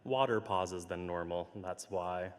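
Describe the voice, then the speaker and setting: Slow, hoarse minister in a reverberant church. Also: male voice, low voice. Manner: slow, measured and gentle. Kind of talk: pastoral notes and spoken prayer. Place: large church sanctuary. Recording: livestream with long hall reverb.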